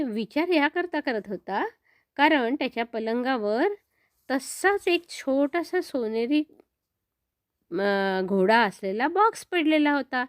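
A woman's voice: a little high, dry recording, clean studio sound. An older woman telling a story to children in a simple, grandmotherly way, often repeating words.

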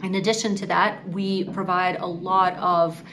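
Lower-pitched woman, noisy. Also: flat delivery, a little slow, a bit bright. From Ukraine. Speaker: nonprofit executive. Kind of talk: prepared career interview to camera. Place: indoor office against a wall.

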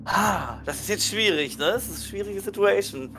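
A man's voice: high voice, expressive, moderate pace, gravelly, noisy background. A man chatting casually as a player in a tabletop role-playing game. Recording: music playing behind the voice. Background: background music.

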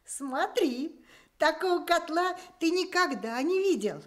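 A naive little storybook hedgehog, high and childlike. He speaks slowly and earnestly, in the style of a radio fairy tale. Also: expressive. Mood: proud. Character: small, naive, proud, easily upset male hedgehog.

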